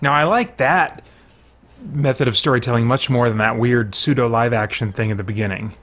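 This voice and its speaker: A man's voice: mid-range voice, brisk, fairly bright tone. A man giving casual, candid first-impressions commentary on a video game, thinking aloud as he plays.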